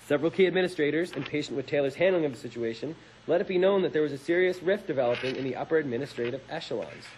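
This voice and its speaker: A man's voice: a little high, animated, racing, a little mellow, a little hiss or hum, rough recording. Young man hosting a radio show. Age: young adult. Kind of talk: casual radio talk and dramatic reading. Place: radio studio.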